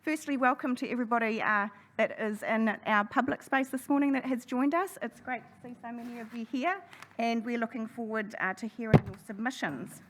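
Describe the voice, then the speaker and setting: A woman chairing a council hearing, running through procedure in a brisk, friendly way. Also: on the high side, fairly even intonation, a bit bright, a little hiss or hum. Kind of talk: formal meeting chairing. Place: council chamber.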